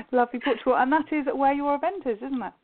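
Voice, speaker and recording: A woman hosting an interview podcast, chatty and quick with asides. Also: high voice. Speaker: podcast host. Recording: phone-like call audio.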